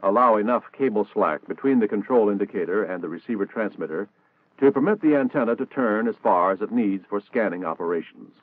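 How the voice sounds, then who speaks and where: A man's voice: fairly low pitch, expressive, a little fast, no echo. An American man narrating a scripted 1960s military training film in a formal, precise, instructional manner. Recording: dated narrow-band 1960s film soundtrack.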